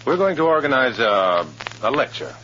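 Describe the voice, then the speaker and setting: An actor playing a guarded, sober undercover agent, narrating and acting in an old radio drama. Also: male voice, mid-range voice, sing-song, normal speed, pushed and bright, slight background noise. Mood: smooth, persuasive. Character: guarded, tense male undercover agent posing as loyal communist. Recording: dull old radio recording, music behind.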